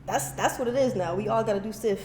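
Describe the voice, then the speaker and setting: A woman's voice: mid-range voice, quick pace, mellow. A young woman co-hosting a casual podcast chat, upbeat and affirming as she leads the questions. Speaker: co-host. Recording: roomy echo with faint noise.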